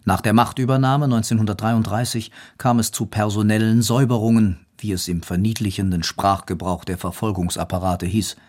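A man narrating a scripted historical account in a measured, matter-of-fact way. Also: on the low side, steady tone, darkish tone. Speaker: documentary narrator.

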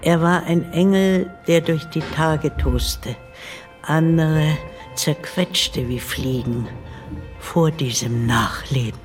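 An older woman playing the ghost of a nanny in a radio drama, breathy and often half-whispering, measured and intimate, tender yet bitter. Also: low-pitched. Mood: tender, haunted. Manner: measured, hushed, intimate delivery. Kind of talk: literary radio drama monologue. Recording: music bed behind the voice.

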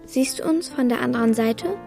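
A woman's voice: on the high side, moderate pace, creaky, warm tone, soft background music. A woman playing a tender young child in a radio play, speaking in simple, short, earnest phrases. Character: young child writing a tender letter to Grandma.

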